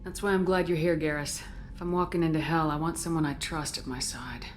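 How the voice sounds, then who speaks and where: An actress playing a tough, loyal military commander in a video game, speaking firmly and directly. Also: female voice, sits low, steady tone, a little fast, a little hiss or hum, lightly acted. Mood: sincere. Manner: firm, direct. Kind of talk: video game dramatic acting.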